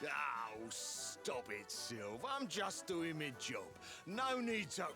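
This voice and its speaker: A man's voice: fairly high pitch, wildly swooping pitch, slow, sharp tone, faint music behind, heavily acted. A man voicing a bashful, easily panicked henchman at a ship's helm in a video game. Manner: colloquial, comically dramatic.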